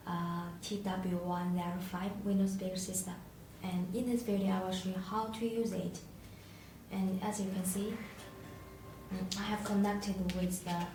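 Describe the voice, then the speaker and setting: Female product demonstrator, non-native English, lowish voice, halting tutorial delivery, heavily reverberant room recording. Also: warm tone. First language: not English. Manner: halting, hesitant delivery with searching pauses. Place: echoey indoor room, tabletop demonstration. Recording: echoey room, distant mic, faint noise.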